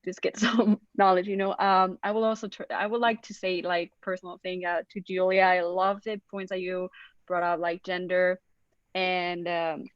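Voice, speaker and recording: A woman's voice: lowish voice, flat delivery, moderate pace, no room sound. Spanish-accented young woman, dull call audio. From Spain. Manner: warm and effusive, with many restarts. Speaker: student society officer closing the event. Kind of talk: unscripted closing remarks on a video call.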